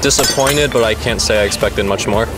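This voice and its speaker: A young man, a student, answering street-interview questions in a measured, resigned way. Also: lowish voice, over music, poor audio. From United States. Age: young adult.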